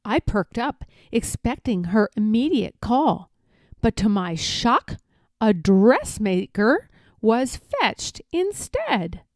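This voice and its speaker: Woman playing a vain, theatrical pampered dog, unhurried. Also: a little high, very animated, no room sound, studio quality. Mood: indignant. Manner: theatrical, self-important, dramatic pauses. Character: vain, indignant, lovelorn pampered palace dog narrator. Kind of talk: expressive storybook read-aloud.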